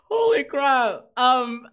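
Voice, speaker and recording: A streamer who has talked far too long, the voice rough, hoarse and cracking at the edges. The delivery is excitable and gushing, with a self-mocking streak as they keep thanking viewers. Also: high voice. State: hoarse, losing voice. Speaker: livestreamer. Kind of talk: casual stream chat with viewers.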